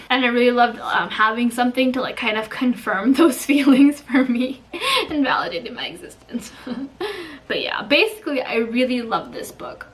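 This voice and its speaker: Casual female book reviewer, higher voice, chatty with lots of fillers, mic a little distant. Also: fairly bright tone. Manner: chatty, filler-heavy with frequent "like".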